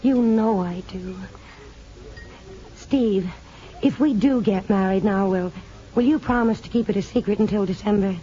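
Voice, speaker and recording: A woman's voice: mid-range voice, moderate pace, pauses often, dark tone, close to an everyday voice. A woman playing an anxious, affectionate young nurse, hesitant and earnest, in 1950s radio drama style. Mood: tender, pleading. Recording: dull, noisy 1950s radio recording.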